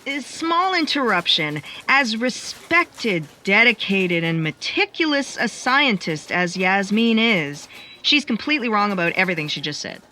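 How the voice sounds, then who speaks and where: A woman playing a smug, officious broadcaster of destiny, making drawn-out announcements in a comedic sci-fi audio play. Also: medium pitch, big pitch swings, bright, forceful tone, slight background noise.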